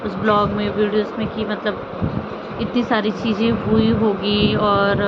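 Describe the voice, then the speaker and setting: Woman vlogging over loud street noise, muffled sound. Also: medium pitch, monotone, a little slow. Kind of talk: casual vlog commentary on family drama. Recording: muffled audio with loud outdoor traffic noise.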